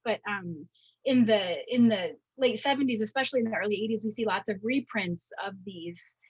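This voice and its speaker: Woman lecturer, slightly husky, halting. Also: medium pitch, no echo, poor audio. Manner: halting, checking in with frequent "right?". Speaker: university professor giving a lecture. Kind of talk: informal academic lecture talk.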